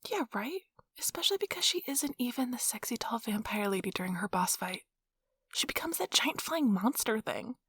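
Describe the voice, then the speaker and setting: A woman playing an affectionate, playful, nerdy young girlfriend in an intimate, soft-spoken ASMR role-play, with a breathy, whispery voice and a tender, teasing manner. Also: on the high side, lively intonation, moderate pace, no echo. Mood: affectionate, chatty.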